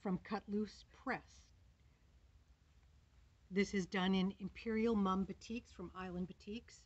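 A woman's voice: medium pitch, steady tone, slow pace, breathy edge. An older woman giving a chatty, enthusiastic quilting demonstration at a table in a fabric store. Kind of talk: casual product demo. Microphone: camera in front at table. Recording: slightly dull lo-fi sound.

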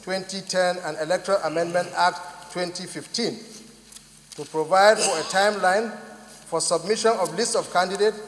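A man's voice: high voice, echoey room, big-room sound. A man with a Nigerian accent formally reading out a report as a senator in a legislative chamber. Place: large indoor senate chamber.

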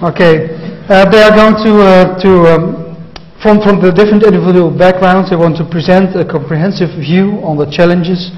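A man's voice: high voice, steady tone, moderate pace. A man chairing a conference session, introducing the next speakers hesitantly with fillers. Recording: reverberant muffled hall.

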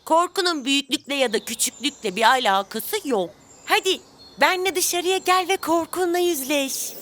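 A woman voicing a helpful, child-like main character in a children's cartoon, speaking clearly and reassuringly. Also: high-pitched, normal speed, fairly bright tone. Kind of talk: animated children's cartoon dialogue. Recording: music bed behind the voice.

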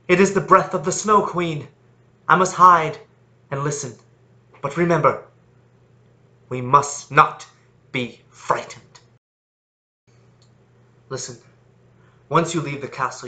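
A man playing a fearful, lonely magician who calls himself lord of the sun, in a deliberate, theatrical monologue. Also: a little high, sharp tone, heavily acted. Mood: wary, hushed, then urgent, instructing. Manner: dramatic, incantatory, deliberate.

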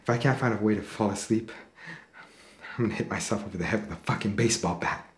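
An actor playing a sleepless, paranoid young man in a horror drama, speaking in a halting, agitated, sweary way. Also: fairly low pitch, natural voice. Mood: weary, unsettled. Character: sleepless, paranoid, foul-mouthed young man haunted at home. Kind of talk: found-footage horror film acting, confessional to camera. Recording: roomy echo, faint background noise.

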